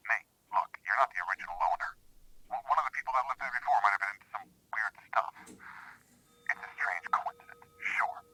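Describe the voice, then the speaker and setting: Guy playing the level-headed skeptic cop-office buddy in a horror audio drama, raspy and really forceful, blunt but cares. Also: lowish voice, expressive, brisk, no echo, full character voice, poor audio. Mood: exasperated, rational. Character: skeptical, rational sheriff's office worker, a concerned friend.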